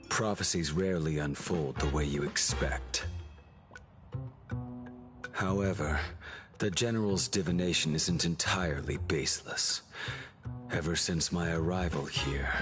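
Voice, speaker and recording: Very deep male game voice, slow, grim brooding swordsman, terse, grave. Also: a bit bright, heavily acted. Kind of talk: video game voice acting.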